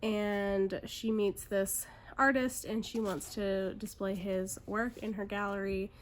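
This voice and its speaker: A woman's voice: medium pitch, steady tone, slow, a little hiss or hum. Chatty woman book vlogger. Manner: chatty, upbeat, filler-heavy. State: congested. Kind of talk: casual book vlog, reading blurbs aloud.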